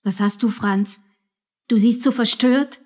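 A woman's voice: fairly high pitch, steady tone, unhurried. A woman playing a worried young mother, a soldier's sweetheart, in a radio drama.

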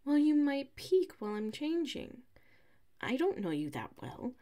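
Woman acting a slow-talking, flustered tsundere classmate. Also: sits high, very animated, dark tone. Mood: huffy, scolding. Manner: halting, huffy, defensive, slips into muttered whispers. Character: flustered, defensive tsundere female classmate with a crush. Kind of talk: ASMR audio roleplay.

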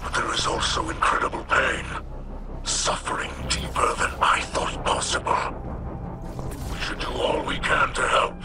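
Grave, whispery alien mystic. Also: male voice, sharp tone, faint music behind. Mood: solemn, compassionate. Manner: formal, deliberate, grave. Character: solemn alien mystic translator, hushed and grave. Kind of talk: video game voice acting.

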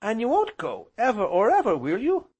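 A man's voice: very high voice, wildly swooping pitch, normal speed, a little mellow, voice pushed up, full character voice. A man voicing an eager, affectionate small boy in a storybook, with breathless, gushing, childlike speech. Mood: anxious pleading. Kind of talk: audiobook character voice.

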